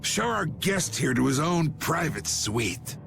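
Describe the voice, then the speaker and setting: Gravelly, swaggering old guild boss. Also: male voice, on the high side, sing-song, slow pace, heavily acted. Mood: furious, booming. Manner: blunt, swaggering, drawling. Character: gruff, commanding old guild boss, quick to anger. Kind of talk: anime video game voice acting. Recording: background music behind the voice.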